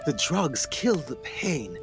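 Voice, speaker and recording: A man acting as a haunted explorer doctor in a radio play, speaking intensely and theatrically with suspenseful pauses. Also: on the high side, very animated, over loud music, heavily acted. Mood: haunted, grave. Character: haunted 1920s male explorer doctor recounting jungle horrors.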